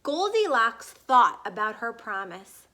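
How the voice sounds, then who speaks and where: A woman reading a story aloud for young children in an animated, emphatic way, pausing for question asides. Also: on the high side. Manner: animated, emphatic, drawn-out key words. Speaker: storybook reader.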